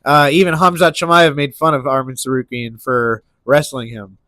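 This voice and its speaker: A man's voice: mid-range voice, little pitch movement, moderate pace, pushed and bright, dry recording. A man with a stuffy nose hosting casual solo sports talk, rambling with lots of restarts and fillers. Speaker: MMA commentary channel host.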